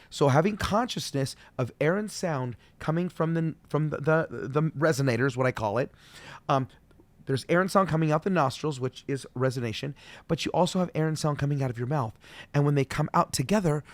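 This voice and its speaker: A middle-aged man teaching as a vocal coach, friendly and explaining slowly with demonstrations. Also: fairly high pitch, no echo. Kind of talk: solo video voice lesson.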